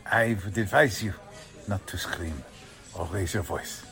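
Radio drama villain played by a man: German agent, low voice, icily courteous, quietly threatening, music underneath. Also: vocal fry, heavily acted. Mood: quiet menace. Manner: quietly threatening, formal, deliberate phrasing. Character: menacing, coldly polite Nazi agent man. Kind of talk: 1940s radio drama acting.

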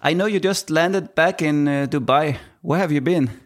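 Measured male podcast host. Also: a little high. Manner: measured, motivational introduction. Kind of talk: interview hosting.

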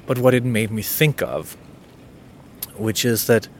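A man's voice: medium pitch, a little slow, a little hiss or hum. A man whose first language is Danish hosts an unscripted solo podcast, talking in a hesitant, intimate way with many restarts. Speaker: podcast host.

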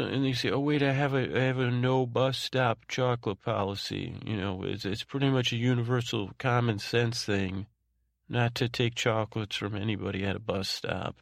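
Creaky, meandering man telling sleepy bedtime rambles. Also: mid-range voice, dry recording, clean studio sound. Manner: meandering, halting, repeats and trails off mid-phrase; lulling, soothing tone. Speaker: podcast host. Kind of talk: rambling bedtime monologue to put listeners to sleep.

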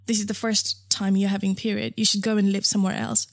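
A woman talks openly and chattily as an interview guest, often restarting her sentences. Her voice sits in a middle pitch. It is recorded close and dry, with no room sound. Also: little pitch movement, fast, no echo. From Nepal. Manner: frank and chatty, with frequent fillers and restarts. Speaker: interview guest, founder of a period underwear brand. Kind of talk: casual interview conversation.

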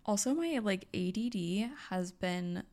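A woman's voice: mid-range voice, expressive, unhurried, a little mellow. A young woman co-hosting a podcast in casual chat, laughing often and trailing off. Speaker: podcast co-host.

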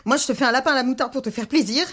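A fussy middle-aged mother of grown daughters in a naturalistic audio drama. Her voice is fairly high and very quick, nagging and plaintive, with a martyred, guilt-tripping air. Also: fairly bright tone. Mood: weary, reproachful. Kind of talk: naturalistic French fiction podcast acting.

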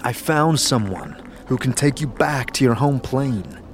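A man as an earnest scarred wanderer, mid-pitched. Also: lively intonation, measured pace, a little hiss or hum, lightly acted. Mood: gently reassuring. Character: sombre, scarred male wanderer, resolute but compassionate. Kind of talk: fantasy audio drama acting.

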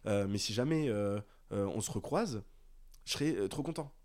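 A man's voice: fairly low pitch, animated, moderate pace, a little mellow. A man in his twenties from the south of France, co-hosting a podcast in a casual, slangy, rambling conversation. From south of France (Marseille area). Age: 20s. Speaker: podcast co-host.

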